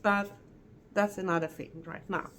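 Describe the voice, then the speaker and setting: A middle-aged woman from Sweden with a Swedish accent, giving an earnest, halting vlog update with self-corrections and non-native phrasing. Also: mid-range voice, steady tone, a bit bright, a little hiss or hum. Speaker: vlogger. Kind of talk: casual health update vlog.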